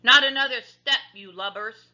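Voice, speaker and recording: A woman voicing a greedy male pirate captain who roars and growls his lines, drawn out and loud. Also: high-pitched, moderate pace, voice pushed up. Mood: roaring greedy. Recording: lo-fi recording.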